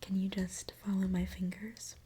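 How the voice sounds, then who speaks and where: A woman softly whispering an ASMR roleplay as a mechanic, gently explaining each step to the listener. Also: medium pitch, completely flat delivery, slight background noise. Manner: soft, gentle whispering, unhurried step-by-step narration.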